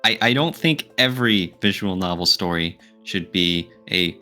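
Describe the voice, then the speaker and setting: A man's voice: deep voice, lively intonation, moderate pace, bright, forceful tone, soft background music. A man co-hosting a podcast in casual chat, talking through his opinions with examples. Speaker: podcast co-host.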